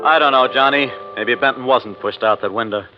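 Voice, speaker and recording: A man's voice: medium pitch, fairly quick, over music, close to an everyday voice. An American actor playing a worried, straightforward insurance man in a 1950s radio drama. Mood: worried, matter-of-fact. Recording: muffled old radio recording.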